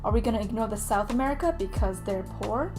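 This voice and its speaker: A woman's voice: mid-range voice, expressive, quick pace. A woman in her late teens from Canada recites slam poetry with an earnest, emphatic, rhythmic delivery. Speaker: student slam poet. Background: background music.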